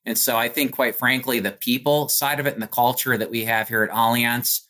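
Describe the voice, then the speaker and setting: A man's voice: medium pitch, fairly even intonation, a little fast, bright, forceful tone. An American man speaking as a company executive in an interview, explaining things conversationally in polished corporate language peppered with "you know". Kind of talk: business interview answer, conversational.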